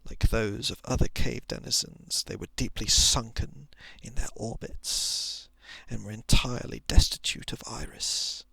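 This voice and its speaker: Very creaky, hushed ASMR man storyteller. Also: mid-range voice, steady tone, measured pace, no room sound. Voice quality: soft, breathy, often dropping into whisper. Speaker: ASMR narrator reading a short horror story. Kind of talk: ASMR story reading, scripted narration. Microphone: close microphone.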